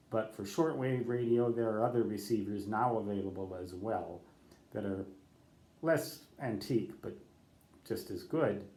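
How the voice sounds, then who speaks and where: A man's voice: lowish voice, darkish tone, echoey room. An older man who is a ham radio operator, giving rambling advice off the cuff, correcting himself and spelling out letters in radio code. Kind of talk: unscripted talk to camera.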